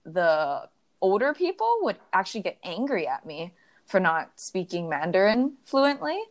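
A woman's voice: mid-range voice, sing-song, unhurried. A young adult woman in casual conversation, telling stories reflectively with many fillers. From United States.

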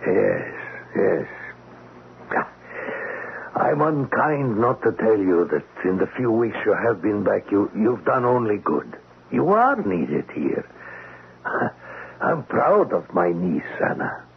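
Older man, fussing kindly uncle, low gravelly voice, radio drama on a tinny, noisy old recording. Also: sing-song, slow, pauses often, exaggerated voice. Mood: tender, proud. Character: kindly, fussing older uncle who runs a neighborhood cafe. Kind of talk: vintage radio drama acting.

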